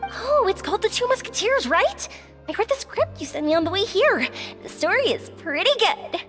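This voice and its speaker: A woman's voice: moderate pace, heavily acted. An actress voicing a cheerful, eager young woman in game dialogue. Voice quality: high, lively, eager. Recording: reverberant with music behind.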